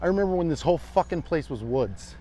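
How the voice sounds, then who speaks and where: A man's voice: on the high side, expressive, fast talker, mellow, voice pushed up. Man putting on a crotchety, entitled old local. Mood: indignant ranting. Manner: belligerent, sweary ranting.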